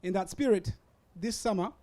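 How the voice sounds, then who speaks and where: A young man from Rwanda speaks in a notably high, light register. He addresses an audience at a university event in earnest, measured sentences. English is his second language, and he pauses to gather his words now and then. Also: warm tone. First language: not English. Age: young adult. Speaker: student speaker. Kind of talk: prepared public talk. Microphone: hand-held or stand mic.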